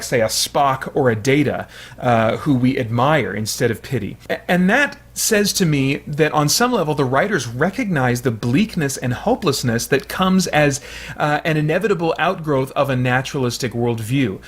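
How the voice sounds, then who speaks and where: A man with a husky, mid-pitched voice gives analytical commentary. He sounds earnest and often hesitates, stumbling into restarts as he reasons. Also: a bit bright. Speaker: commentator, show host.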